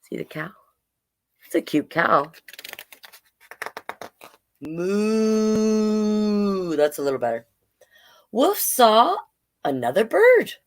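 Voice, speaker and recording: A woman in her forties giving a casual children's read-aloud, playful and expressive, with chatty asides. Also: deep voice, measured pace, pauses often, a little hiss or hum. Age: 40s. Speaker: children's storyteller.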